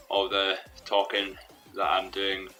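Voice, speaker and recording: A young man commentating his own football management game in a rambling, hesitant way, his voice a little hoarse from losing it. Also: deep voice, sharp tone. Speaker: gaming commentator. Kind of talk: let's play commentary. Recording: faint background music.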